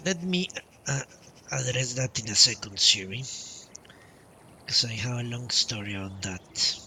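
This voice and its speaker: A man doing casual live commentary as a game streamer, rambling in halting, broken-up phrases. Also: mid-range voice, pushed and bright, slight background noise. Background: game music.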